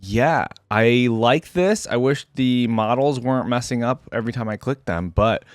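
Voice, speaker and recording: A man's voice: mid-range voice, moderate pace, no echo, studio quality. A man giving casual, informal reaction commentary as a video creator, thinking aloud and picking at details.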